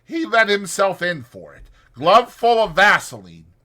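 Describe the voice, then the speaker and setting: Irritable ranch hand played by a male narrator, bossy and protective, pitched up, forceful, rustic American speech. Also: big pitch swings, measured pace, exaggerated voice. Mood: fierce scolding. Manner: brusque, scolding, rural American dialect.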